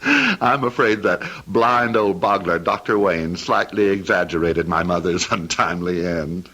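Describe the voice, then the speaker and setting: A man's voice: sits low, expressive, sharp tone, exaggerated voice. An actor playing a cold, scheming heir in his thirties, menacing and mocking, in old-time radio drama style. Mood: gloating. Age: 30s. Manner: menacing, mocking, theatrically deliberate.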